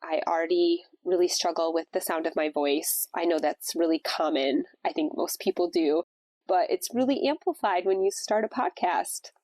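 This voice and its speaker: A woman's voice: lowish voice, animated, moderate pace, a little mellow, no room sound. A woman hosting a podcast, congested and stuffed up from a cold, talking in a casual, reflective way about faith. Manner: conversational, reflective. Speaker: podcast host. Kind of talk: casual devotional talk.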